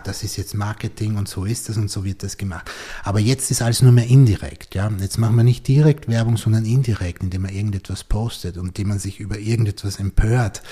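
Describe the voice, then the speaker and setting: A man's voice: on the low side, dark tone, slightly rough. A man hosting a podcast interview, reflective and thinking aloud as he talks. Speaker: podcast host. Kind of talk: conversational interview.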